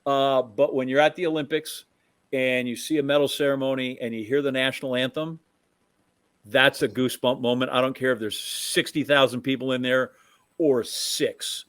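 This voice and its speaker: An American man with a medium-pitched voice, recorded dry and close. He chats casually about sports as a co-host. His storytelling is conversational and halting, often doubling back on itself. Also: fairly even intonation, fairly bright tone, no room sound.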